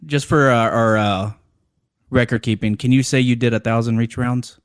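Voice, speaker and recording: Deadpan man on a prank call, very dry sound. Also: mid-range voice, normal speed, clean tone, dry recording. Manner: straight-faced, hesitant official patter. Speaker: prank caller posing as consumer center agent.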